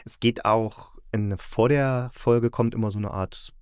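A man's voice: mid-range voice, fairly even intonation, measured pace, a little mellow, smooth, dry recording, clean studio sound. A man hosting a casual review conversation, reflective and often correcting himself as he formulates. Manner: reflective, self-correcting, repeats words while formulating. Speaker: podcast host, audio drama critic.

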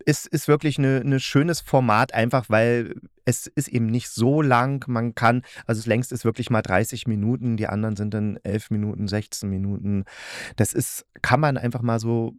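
Male podcast interviewer, mid-pitched, informal and rambling, searching for words, recorded dry. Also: fairly even intonation, normal speed, no room sound. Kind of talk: casual interview chat.

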